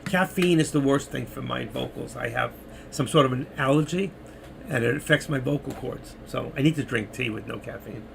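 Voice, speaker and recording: A man's voice: mid-range voice, expressive, moderate pace, vocal fry, background noise. A middle-aged man moderating a trading live stream, rambling casually to viewers while losing his voice. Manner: rambling, chatty, talking to chat viewers.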